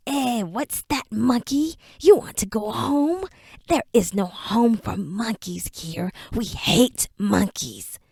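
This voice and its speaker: A woman voicing a pack of cruel, non-human creatures that chant slowly and cackle in half-whispered taunts. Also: fairly high pitch, lively intonation, vocal fry, darkish tone, husky, dry recording, full character voice. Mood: mocking. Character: cruel mocking dark creatures of a forest realm.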